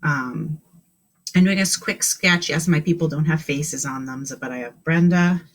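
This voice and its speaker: A woman's voice: low voice, moderate pace. A woman teaching kindergarten on a live stream, speaking encouragingly to children in simple questions and instructions.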